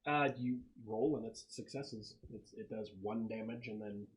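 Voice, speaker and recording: A man's voice: fairly low pitch, measured pace, poor audio. Male game master, conversational and easygoing, walking players through game rules at the table.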